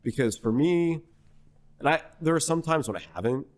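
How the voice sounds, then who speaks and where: A young man from New Hampshire, a singer-songwriter guest in a podcast interview, telling a story in a rambling, animated way full of restarts. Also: on the high side, normal speed. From New Hampshire, USA. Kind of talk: casual conversational interview.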